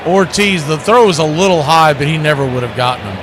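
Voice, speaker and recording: A man doing live baseball play-by-play as the announcer, conversational, with drawn-out calls and quick asides. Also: on the high side, expressive, noisy background.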